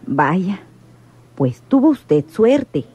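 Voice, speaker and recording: An actress in a radio drama playing a prying head nurse who is polite, formal and probing. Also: female voice, lowish voice, very animated, extremely slow, warm tone, husky, full character voice. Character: smooth-talking, prying female head nurse. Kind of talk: radionovela acting.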